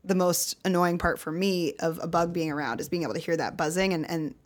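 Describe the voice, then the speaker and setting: A young woman co-hosting a podcast, telling stories in an animated way, laughing and swearing casually. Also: on the low side, brisk. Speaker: podcast co-host.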